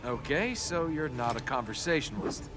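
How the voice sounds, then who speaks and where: An actor playing a young, roguish, wisecracking adventurer in a video game, with sarcastic, flippant banter. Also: male voice, medium pitch, expressive, moderate pace, over loud music, natural voice. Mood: wry, teasing.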